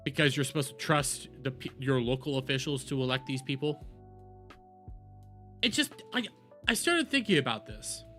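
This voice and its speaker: A man giving a casual, exasperated political rant as a streamer, halting and repeating words, sometimes swearing. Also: mid-range voice, animated, sharp tone, soft background music. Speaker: streamer, host.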